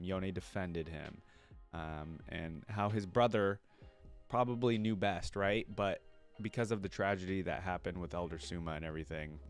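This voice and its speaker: Casting: male reaction streamer, lowish voice, casual and chatty, talks to viewers, faint music behind. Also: clean tone. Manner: chatty, informal, addresses viewers directly. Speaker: reaction video host and streamer.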